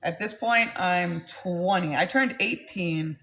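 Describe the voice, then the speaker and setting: A woman's voice: fairly low pitch, slow, a bit bright. A woman, telling her life story to camera in a blunt, rambling way and swearing freely. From Texas, US. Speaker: vlogger.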